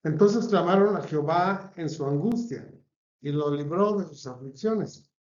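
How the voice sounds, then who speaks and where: A man's voice: fairly high pitch, unhurried, a little mellow, echoey room, muffled recording. A man with a Mexican accent preaching a sermon in an earnest cadence, stacking phrases one after another. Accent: Mexican Spanish. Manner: earnest, repetitive listing cadence.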